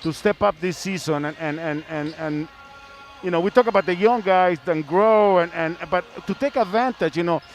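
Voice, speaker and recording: Higher-pitched man talking basketball on air. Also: faint music behind. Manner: halting, repeats words as he builds questions. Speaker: sports broadcast host. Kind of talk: conversational basketball commentary.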